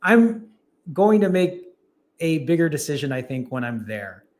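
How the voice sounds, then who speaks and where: A man hosts a live stream as a fasting coach. His voice sits a little high, and he chats casually and encourages his viewers directly as he answers their comments. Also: very animated, darkish tone. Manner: talks straight to viewers, upbeat and encouraging.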